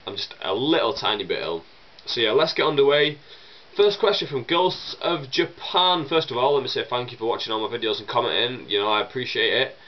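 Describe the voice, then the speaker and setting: A man's voice: mid-range voice, lively intonation, brisk, dull audio. A young man with a chesty cold, rambling casually as he answers viewer questions in a vlog. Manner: chatty and rambling, many fillers and restarts. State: chesty with a cold, coughing and sniffling.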